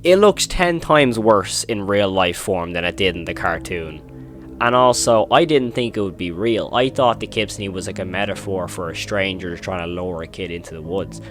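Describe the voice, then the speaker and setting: A man's voice: low voice, expressive, normal speed, soft background music. A man hosting a horror video commentary, retelling and reacting in an informal, emphatic way.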